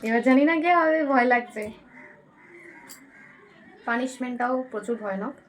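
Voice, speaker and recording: A woman's voice: fairly high pitch, normal speed, slight background noise. A woman chatting casually as a vlogger during an eating challenge. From Bengal. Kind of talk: casual vlog chat.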